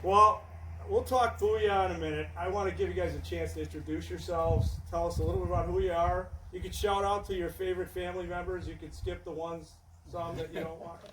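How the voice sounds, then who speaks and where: A male host leads a relaxed interview with a jokey, upbeat manner and a voice that sits a little high. The sound is roomy and echoey, and he is picked up from some way off the mic. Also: fairly quick, slight background noise. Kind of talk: casual interview. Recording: echoey room, distant mic.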